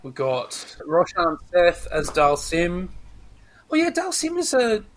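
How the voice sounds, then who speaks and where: A man's voice: sits high, huge pitch swings, takes it slowly, slight background noise. A man hosting a casual, chatty film discussion. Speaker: podcast host.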